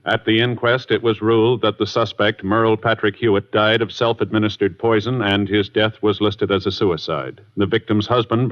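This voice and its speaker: A man's voice: on the low side, lightly acted. A man giving formal scripted narration as the announcer of an old crime drama. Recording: muffled old radio recording.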